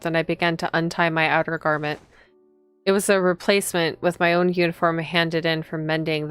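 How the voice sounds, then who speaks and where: A woman's voice: deep voice, smooth, no room sound. A woman reading visual novel text aloud as a streamer, expressively, with casual reactions to the story.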